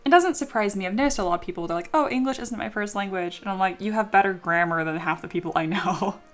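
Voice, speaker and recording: A woman's voice: mid-range voice, fast, faint music behind. Bubbly young woman streamer. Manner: bubbly, excitable, laughs often, reads chat aloud. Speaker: streamer, 3D sculptor. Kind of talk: casual livestream chat with viewers.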